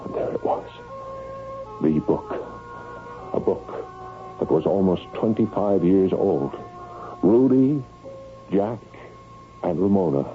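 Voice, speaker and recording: A man's voice: low-pitched, expressive, slow, pauses often. An actor playing a shy, nervous middle-aged man who stammers anxiously in a radio mystery drama. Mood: tense, brooding. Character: shy, nervous, browbeaten forty-year-old man, mystery fan. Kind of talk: radio drama acting with first-person narration. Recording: tinny, band-limited radio broadcast, music behind.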